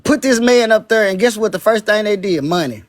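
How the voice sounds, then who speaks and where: Man, very high-pitched voice, emphatic, repetitive, swearing, dry close sound. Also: animated, brisk, no echo. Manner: emphatic, repeats himself, swears freely. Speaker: guest on a live stream. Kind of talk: heated casual chat.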